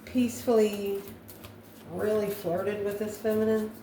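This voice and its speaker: A woman giving an intuitive tarot reading to viewers, musing aloud in short, halting phrases. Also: medium pitch, level tone, dark tone. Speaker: tarot reader, host. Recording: roomy echo, distant mic, background noise.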